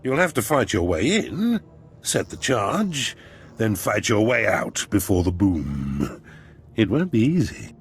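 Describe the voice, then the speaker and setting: A man's voice: lowish voice, big pitch swings, takes it slowly. Theatrical male mission adviser with a swooping delivery. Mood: relishing. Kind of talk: video game dramatic acting.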